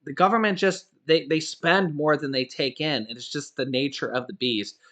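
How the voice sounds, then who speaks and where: A man's voice: on the high side, normal speed, fairly bright tone. A man in his forties giving a casual, emphatic commentary on finance news, often stopping to ask "okay?" and correcting himself as he goes. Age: 40s. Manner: emphatic, repeats "okay?" to drive points home; frequent restarts and self-corrections. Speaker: finance YouTuber.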